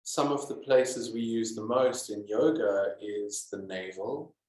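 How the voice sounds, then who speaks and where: Slow-speaking man guiding yoga, echoey room. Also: lowish voice. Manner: calm, deliberate, with many pauses. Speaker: yoga teacher. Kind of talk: guided yoga and meditation instruction. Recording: echoey, roomy sound.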